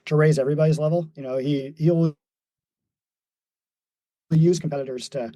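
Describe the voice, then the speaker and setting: Mellow-voiced man in his forties. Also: on the high side, steady tone, normal speed, no room sound. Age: 40s. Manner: thoughtful, many fillers and restarts, laughs easily. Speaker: podcast guest, mountain runner. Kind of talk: reflective casual conversation.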